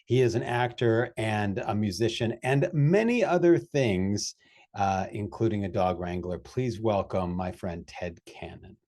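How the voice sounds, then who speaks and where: A man from Wisconsin hosting a casual chat, upbeat and animated as he introduces and talks. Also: on the low side, measured pace. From Wisconsin, USA. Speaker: host.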